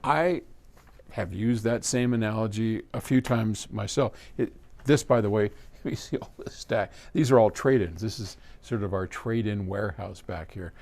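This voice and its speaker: A man's voice: lowish voice, moderate pace, vocal fry, darkish tone. A man talking casually and unscripted to camera as a host answering viewer questions, rambling conversationally with asides and self-corrections. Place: trade-in equipment warehouse.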